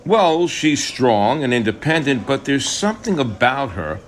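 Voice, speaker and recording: A man's voice: medium pitch, sing-song, unhurried, slight background noise. A man playing a frustrated, discouraged middle-aged writer in a radio drama, speaking in a hesitant, confessional way. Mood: earnest, searching.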